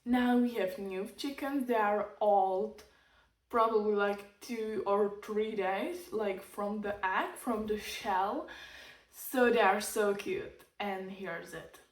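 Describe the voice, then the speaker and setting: Czech-accented woman, halting english, slow, roomy echo. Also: mid-range voice, fairly even intonation. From Czech Republic. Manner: halting, searching for English words. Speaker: vlogger. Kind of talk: casual DIY vlog. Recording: echoey, from a distance.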